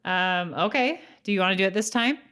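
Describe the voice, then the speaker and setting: A woman's voice: mid-range voice, lively intonation, brisk, a bit bright, clean tone. A woman co-hosting a podcast, talking informally in a casual back-and-forth chat. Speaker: podcast co-host. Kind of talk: casual chat about a TV episode.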